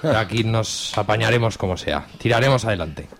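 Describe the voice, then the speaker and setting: A man's voice: fairly low pitch, lively intonation, fairly quick, gravelly. A man with an accent from Spain, hosting a radio show in a casual, unscripted, colloquial way. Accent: Spanish from Spain. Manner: informal, colloquial. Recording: music playing behind the voice. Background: background music.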